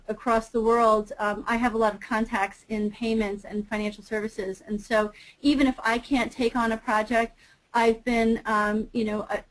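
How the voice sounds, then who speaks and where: Hesitant woman with a flat voice. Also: a little high, poor audio. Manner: hesitant, many ums and restarts. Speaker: webinar panelist. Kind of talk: casual talk on a webinar.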